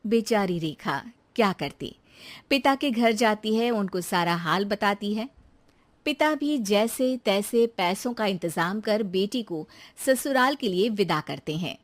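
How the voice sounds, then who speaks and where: A woman's voice: medium pitch, animated, unhurried. A woman storyteller reading scripted narration for a moral story.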